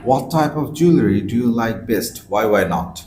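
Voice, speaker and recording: Formal low-voiced man in an echoey room. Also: moderate pace. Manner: formal, flat scripted delivery. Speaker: IELTS examiner. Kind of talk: reading scripted exam questions. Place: small office room, indoors. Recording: echoey room with faint noise.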